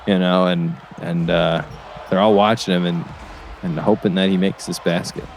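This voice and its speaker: A man's voice: low voice, flat delivery, moderate pace, gravelly. A man playing an earnest, emotionally invested basketball color commentator in an audio drama, hesitant and easily wowed. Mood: concerned. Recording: basketball game noise behind.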